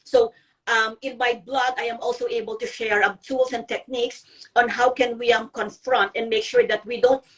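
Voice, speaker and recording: A woman's voice: a little high, level tone, normal speed, fairly bright tone, slightly rough, recorded from a distance, rough recording. A middle-aged woman from the Philippines, a guest blogger on a live video interview, speaking earnestly with many ums and restarts. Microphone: video call.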